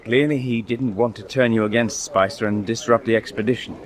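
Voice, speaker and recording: An actor playing a mild, hesitant expedition doctor in a period radio drama, careful and reticent. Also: male voice, on the low side, steady tone, a little fast, lightly acted. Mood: earnest, placating.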